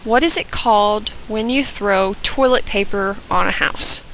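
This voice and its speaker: A young woman from Georgia with a softened Southern accent, chatting casually through an accent tag video with frequent "um" fillers. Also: mid-range voice, measured pace, slight background noise, low-quality recording. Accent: Southern US, softened by years in Oregon. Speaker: vlogger.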